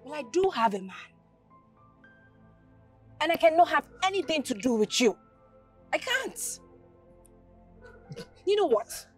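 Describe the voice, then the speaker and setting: A woman's voice: high-pitched, very animated, measured pace, pauses often, soft background music. An actress playing a principled, exasperated young woman with a Nigerian accent, indignant and emphatic in a naturalistic screen drama. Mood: distressed, indignant. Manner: indignant, emphatic, repeats herself. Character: principled, exasperated young shopkeeper pursued by rich suitor.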